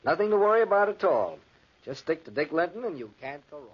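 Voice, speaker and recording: A man's voice: fairly high pitch, very animated, quick pace, husky. A man playing a self-assured, teasing bachelor writer in a 1940s radio drama, with a breezy, bantering manner. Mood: breezy, confident. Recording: dull lo-fi 1940s radio recording.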